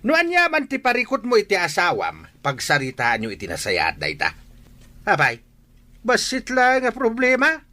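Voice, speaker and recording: A stern old father, high and sharp in voice. He lectures in exasperation, swooping up and down in classic radio drama style. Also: wildly swooping pitch, moderate pace, exaggerated voice. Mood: angry, scolding. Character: stern, scolding old father of a married son.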